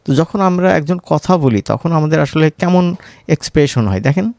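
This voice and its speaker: Creaky-voiced Bangladeshi man teaching. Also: on the high side, lively intonation, normal speed, darkish tone, dry recording. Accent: Bangladeshi Bengali. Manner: conversational, checks in with frequent question tags. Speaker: tutorial instructor. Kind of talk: informal screen-recorded software tutorial.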